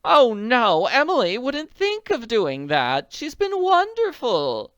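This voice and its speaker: A woman voicing an agitated, elegant middle-aged woman in a storybook, pleading in a breathless, drawn-out way. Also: a little high, sing-song, a bit bright, clear, resonant tone, no room sound, muffled recording, voice pushed up. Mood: distressed. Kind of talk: audiobook character voice.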